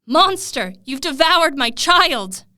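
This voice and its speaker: A woman voicing a hot-tempered storybook prince, calling out in loud, drawn-out, commanding cries. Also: high voice, sing-song, voice pushed up, heavily acted. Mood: enraged. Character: hot-tempered Welsh prince, anguished father and hound's master.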